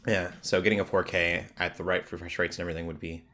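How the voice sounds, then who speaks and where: A man's voice: low-pitched, little pitch movement, fast, pushed and bright. A man co-hosting a podcast in casual gaming chat, rambling and hesitant as he thinks aloud. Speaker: podcast co-host.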